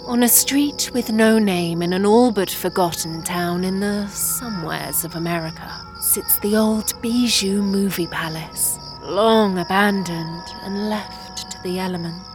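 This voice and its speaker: A woman giving a scripted, atmospheric radio drama introduction in a measured, ominous storytelling manner. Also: medium pitch. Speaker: announcer, narrator. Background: music.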